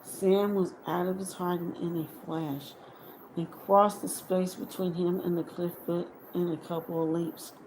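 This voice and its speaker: Woman, audiobook narration, lowish pitch, slow deliberate phrasing, dramatic, background noise. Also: level tone, a little mellow, rough recording. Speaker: audiobook reader. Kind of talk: dramatic scripted fiction narration.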